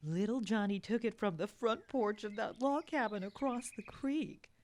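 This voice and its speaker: A woman's voice: medium pitch, moderate pace, a little mellow, close to an everyday voice. A woman playing a sweet, doting Bigfoot mother with a sugary, cheerful homemaker delivery in a comedic radio play. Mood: cheerful, placating.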